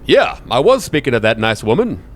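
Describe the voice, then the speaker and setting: A man's voice: medium pitch, sing-song, a little fast, voice pushed up, close to an everyday voice. A man voicing a genial, dotty old bookshop keeper in a tabletop roleplay, hesitant, apologetic and full of folksy exclamations. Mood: rambling, helpful.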